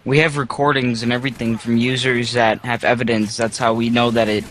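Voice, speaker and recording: A man acting as an earnest website manager on a prank phone call. His voice sits at an average pitch, and he explains at length, repeating words to press his point. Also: flat delivery, fairly quick, a bit bright, natural voice. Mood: insistent. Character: earnest male website manager reporting user complaints. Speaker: prank caller.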